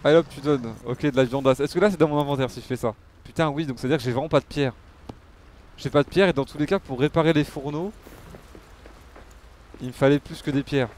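A man's voice: a little high, a little fast, a little mellow, a little hiss or hum. A man giving casual live game commentary, muttering to himself and swearing freely. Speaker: game streamer.

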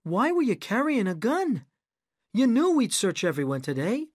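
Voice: wildly swooping pitch, normal speed, no echo, studio quality. A reader voicing a bossy adult male film director who barks orders in a raised, commanding voice. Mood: exasperated. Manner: loud, commanding, raised pitch. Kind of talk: audiobook character voice.

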